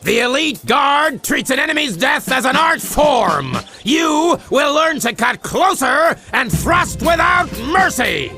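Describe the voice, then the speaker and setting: Blustering high-strung army general. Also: male voice, very animated, slow, vocal fry, few pauses, shouting, over music, full character voice. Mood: bellowing, stern. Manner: blustering, commanding. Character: gruff, impatient, bombastic male army general. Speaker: army general and drill instructor. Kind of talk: video game voice acting, barked drill speeches.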